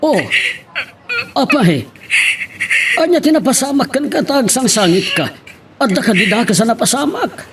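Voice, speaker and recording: Lalaki a mangiyakting iti madanagan a lakay nga ama. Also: male voice, very high-pitched, animated, moderate pace, very noisy. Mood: concerned. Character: concerned older father counselling his grown child. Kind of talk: melodramatic Ilocano audio drama.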